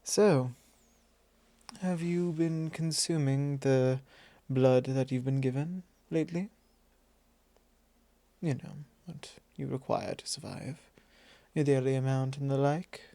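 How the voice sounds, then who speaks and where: A man voicing a suave, possessive vampire lord in an intimate ASMR roleplay, measured, courtly and teasing. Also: mid-range voice, steady tone, dark tone, dry recording. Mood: gently chiding.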